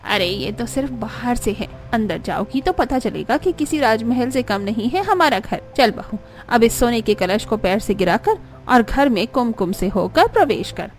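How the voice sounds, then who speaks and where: Haughty high-voiced rich mother-in-law played by a woman. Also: expressive, normal speed, few pauses, a little hiss or hum, lightly acted. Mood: boastful, proud. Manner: haughty, boastful. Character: rich, snobbish middle-aged modern mother-in-law, boastful and disdainful. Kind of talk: cartoon story dialogue acting.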